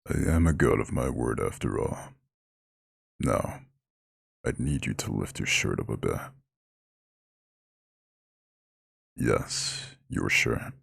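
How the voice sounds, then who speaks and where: A man voicing an ancient eldritch god in an ASMR roleplay, proud and lordly yet tender, with a very deep, husky, gravelly growl. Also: lively intonation, a bit bright, no echo, clean studio sound, full character voice. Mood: commanding, attentive. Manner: lordly, formal, slow comforting address. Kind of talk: scripted ASMR roleplay monologue.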